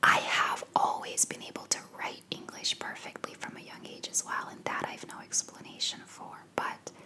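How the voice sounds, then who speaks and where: A young woman from Belgium with an American accent, whispering answers to viewer questions in a rambling ASMR Q&A at home on a sensitive microphone. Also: normal speed. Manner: soft unvoiced whisper, rambling with fillers. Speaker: ASMR creator. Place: home living room.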